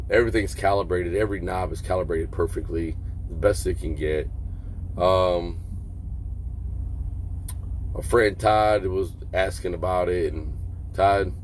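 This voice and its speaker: Deep-voiced man rambling over vehicle hum. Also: darkish tone. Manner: rambling, plainspoken, halting. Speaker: trucker reviewing a CB radio. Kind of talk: casual vlog product review. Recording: clear vehicle and air-conditioning hum.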